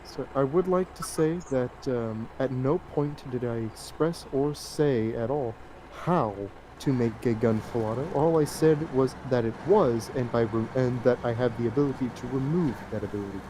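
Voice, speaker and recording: Opinionated man chatting over clear engine noise. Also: medium pitch, normal speed, few pauses, warm tone, rough recording. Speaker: co-player on a livestream. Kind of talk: casual opinionated chat. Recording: clear background vehicle noise.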